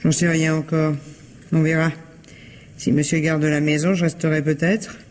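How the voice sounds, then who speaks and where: Gruff housekeeper, played by a woman, low-voiced. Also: level tone, normal speed, darkish tone, a little hiss or hum, natural voice. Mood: grudging, gossipy. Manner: brusque, colloquial, dismissive. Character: gruff, curt housekeeper, grudging and plain-spoken. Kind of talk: French radio mystery drama acting.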